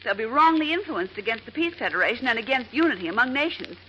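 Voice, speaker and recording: A woman's voice: on the high side, expressive, quick pace, bright, forceful tone, lo-fi sound. An actress playing a determined, agitated woman newspaper reporter with urgent, dramatic delivery in 1940s radio style. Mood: urgent, indignant. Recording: hissy 1940s radio recording.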